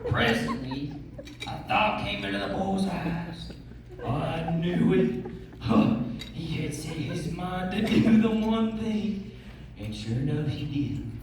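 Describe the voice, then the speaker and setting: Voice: medium pitch, lively intonation, slow. An actor playing a brash, boastful old teller of tall tales in a readers theatre show, theatrical and indignant when doubted. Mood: excited storytelling. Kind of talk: readers theatre storytelling on stage. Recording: echoey room from far off, noisy.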